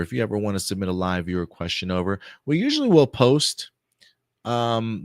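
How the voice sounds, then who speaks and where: A man hosting a live pop-culture news stream, talking casually with loose fillers and repeats, his voice a bit hoarse. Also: low-pitched, dry recording, clean studio sound. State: voice getting hoarse.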